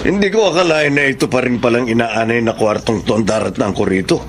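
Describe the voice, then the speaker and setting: A man's voice: medium pitch, fast talker, loud music behind the voice, lightly acted. A Filipino man playing a resentful, blaming breadwinner in a Tagalog radio drama. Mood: dismayed.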